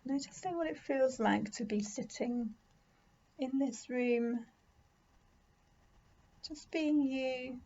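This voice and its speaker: A woman leading a guided meditation in a gentle, soothing, unhurried manner with pauses. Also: high-pitched, dull audio. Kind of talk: guided body scan meditation.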